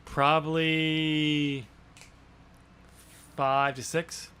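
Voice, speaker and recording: An American man in a casual podcast chat, talking haltingly and often restarting his sentences. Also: a little high, flat delivery, pushed and bright, a little hiss or hum. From New York State, US. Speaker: podcast host and author discussing his novel.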